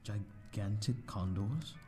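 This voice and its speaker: A man's voice: fairly low pitch, slow, darkish tone, faint music behind. A man playing a courteous, lonely vampire host in an ASMR audio roleplay, speaking in a formal, flowery, slightly flustered and hesitant way. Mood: sympathetic, intrigued. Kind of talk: ASMR audio roleplay, scripted character acting.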